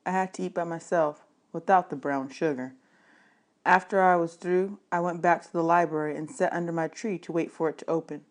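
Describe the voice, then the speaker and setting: A woman's voice: lowish voice, steady tone, a little fast. A woman reading a children's novel aloud as audiobook narration, in the manner of a teacher.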